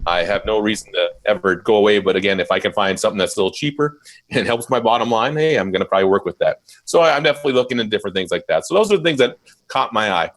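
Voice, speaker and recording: A man who runs a mobile DJ company, chatting in a casual live interview and telling stories with lively jumps in his voice. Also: on the low side, racing. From Minnesota, USA. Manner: animated storyteller, voice jumps up when quoting.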